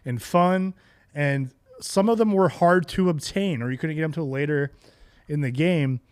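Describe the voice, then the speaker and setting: A man's voice: a little high, normal speed, smooth, no room sound, clean studio sound. A man in his thirties co-hosting a podcast, reminiscing casually about video games in an enthusiastic, slangy way. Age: 30s. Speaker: podcast co-host.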